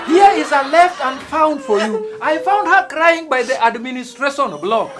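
Woman acting mean student, needling scorn, fairly high voice, drama dialogue, noisy background. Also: lively intonation, normal speed, crowd noise. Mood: mocking. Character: mocking, mean-spirited young student. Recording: noisy room ambience.

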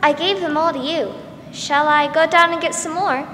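A young woman playing an eager, excitable twelve-year-old girl in a 1940s-style radio drama on stage. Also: sits high, normal speed. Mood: eager, curious. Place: large theatre stage, indoors. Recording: echoey stage hall, faint noise.

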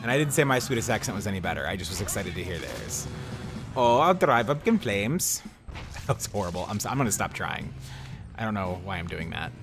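A man giving casual, excitable let's-play commentary while he drives in a game, thinking aloud. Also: fairly low pitch, a little fast. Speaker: gaming YouTuber. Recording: game music behind.

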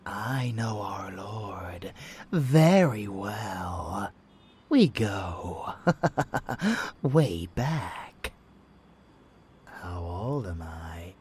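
A woman voicing an unhinged, rebellious male vampire lover in an ASMR roleplay, teasing and menacing in a breathy, drawling whisper. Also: rumbling low, darkish tone, exaggerated voice. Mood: teasing, seductive.

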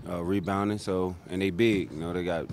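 A young man, a pro basketball player, answering reporters in a halting, laid-back way with many fillers, in an empty arena. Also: deep voice, steady tone, darkish tone, faint music behind. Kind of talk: media scrum answers. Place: empty arena seats, indoors. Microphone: handheld reporter mics held close.

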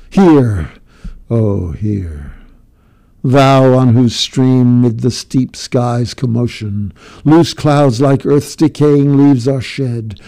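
Man, poetry reading, very slow, mellow dark tone, grave and declamatory. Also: mid-range voice. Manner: slow, grave and declamatory, swelling and falling to a hush. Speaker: poetry reader. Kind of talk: dramatic poetry recitation.

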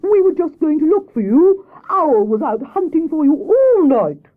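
A woman voicing an anxious, excitable male hare in a children's story, speaking in an urgent, exclaiming way. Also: high voice, brisk, narrow, tinny audio, voice pushed up. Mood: cross.

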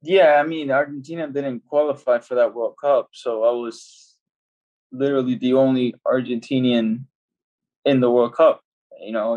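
A man's voice: mid-range voice, dull audio. Young man rambling on a video call. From El Salvador. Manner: relaxed, rambling with many restarts. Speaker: professional footballer, guest. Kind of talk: casual video-call interview. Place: small home room. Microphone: laptop video call.

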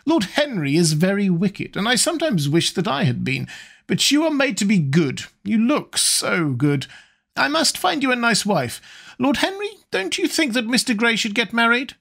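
A man's voice: sits high, big pitch swings, normal speed, vocal fry, a bit bright, pitched above the performer's own voice, exaggerated voice. A man reading aloud and voicing a chattering, bossy elderly society hostess. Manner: gushing, bossy.

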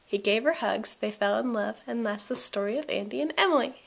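Chatty high-voiced young woman vlogging. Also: brisk, vocal fry. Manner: chatty, giggly, rambling. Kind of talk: casual vlog chat. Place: car, then home kitchen. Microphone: handheld camera at arm's length. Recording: noisy handheld camera audio.

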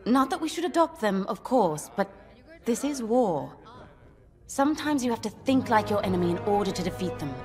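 An actress playing a shrewd, thoughtful starfighter pilot in a video game, articulate and deliberate. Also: female voice, medium pitch, very animated, a little mellow, big-room sound, soft background music, natural voice. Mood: wry, thoughtful. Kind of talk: video game character dialogue.

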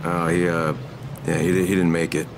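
An actor playing a persistent outsider hero in a video game, casual and direct, pressing with questions. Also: male voice, low voice, flat delivery, a little fast, background noise. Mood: probing, uneasy. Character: gruff, persistent male city outsider hero. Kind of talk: video game cutscene acting.

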